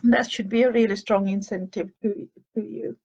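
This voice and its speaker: A woman's voice: mid-range voice, steady tone, moderate pace, creaky, a little mellow, slightly rough, low-quality recording. An older woman from with a slight cough, hosting a show and answering listener questions in a thoughtful, hesitant way with many fillers. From Finland. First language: Finnish and Swedish. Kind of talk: advice talk answering questions.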